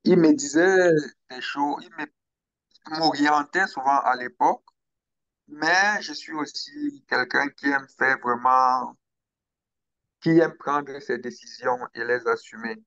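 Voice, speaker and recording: A young man from Cameroon, speaking slowly and hesitantly as an interview guest about his own story. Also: medium pitch, a bit bright, no room sound, lo-fi sound. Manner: slow, hesitant, with pauses and restarts. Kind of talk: interview, personal account.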